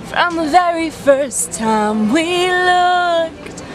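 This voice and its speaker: A woman's voice: extremely high pitch, animated, drawn-out words. A woman in her twenties singing a soul pop song outdoors, phrases climbing upward. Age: 20s. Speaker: singer auditioning. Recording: crowd and music outdoors. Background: crowd and music.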